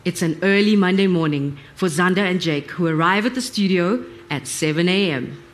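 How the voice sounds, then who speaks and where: A woman's voice: lowish voice, expressive, normal speed, faint music behind. A woman reading scripted narration for a live radio drama.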